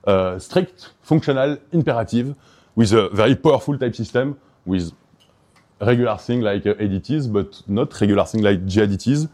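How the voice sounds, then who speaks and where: A man's voice: lowish voice, animated, moderate pace, a little mellow. A man from Belgium with a Belgian French accent, giving an enthusiastic, hesitant conference talk full of fillers and restarts. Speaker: conference speaker.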